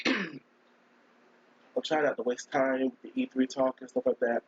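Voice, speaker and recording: A man with a cold, hoarse and scratchy, chatting casually on a live stream and rambling as he keeps clearing his throat. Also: medium pitch, sing-song, unhurried, lo-fi sound. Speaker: gaming streamer.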